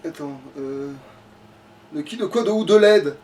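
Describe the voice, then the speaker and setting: Let's player, male. High register, lively swings, sweary and informal. Off-mic, game music underneath. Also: unhurried, distant mic, a little hiss or hum. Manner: informal, swearing, muttering to himself. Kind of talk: casual gaming commentary.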